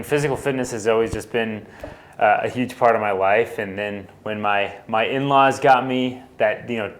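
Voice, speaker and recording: Fairly low-voiced man chatting casually. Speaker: fitness coach. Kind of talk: casual chat.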